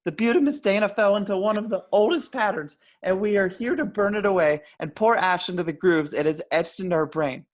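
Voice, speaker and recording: A man's voice: high voice, brisk, hardly any pauses, natural voice. A man at an amateur table read playing a paranoid young stoner who rambles in grand, wordy pronouncements. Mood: grandly philosophical. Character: paranoid young male stoner, rambling anti-establishment philosopher.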